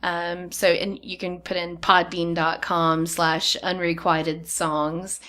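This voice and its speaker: A woman guest on a podcast, talking in relaxed casual chat about her radio work, laughing easily. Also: fairly low pitch, takes it slowly.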